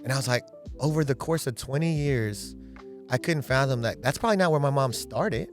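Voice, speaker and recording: A man's voice: mid-range voice, expressive, fairly quick, soft background music. A man in his thirties from the US West Coast, a guest in an interview, talking earnestly and at length, often saying "right?" and "um". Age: 30s. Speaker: guest, real estate agent. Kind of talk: casual interview talk. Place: outdoors in a backyard.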